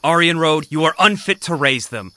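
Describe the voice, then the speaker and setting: A short-tempered old king, played by a woman, pitched low and swinging widely in tone. The figure is haughty and imperious, scolding in theatrical audio drama style. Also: lively intonation, normal speed, bright, forceful tone, no echo. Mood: angry, commanding. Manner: haughty, scolding with archaic insults.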